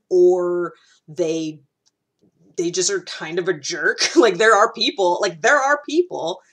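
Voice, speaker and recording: A woman giving a casual, explanatory monologue as a video host, conversational and often rephrasing or repeating herself. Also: lowish voice.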